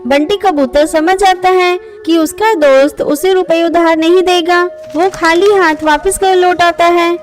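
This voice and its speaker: A woman's voice: extremely high pitch, fairly even intonation, a little slow, few pauses, faint music behind. A woman narrating a scripted children's moral story as its storyteller.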